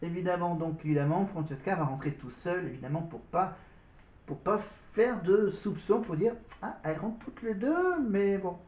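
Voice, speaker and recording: A man's voice: sits high, lively intonation, normal speed, a little mellow. A man giving casual commentary on a reality show, rambling and repeating his filler words. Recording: roomy, muffled recording.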